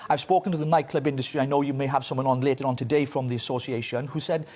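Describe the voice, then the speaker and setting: A man's voice: medium pitch, fairly even intonation, a little mellow. A Welsh man giving forthright, opinionated political commentary in a radio interview. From Wales. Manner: forthright, opinionated, quick delivery.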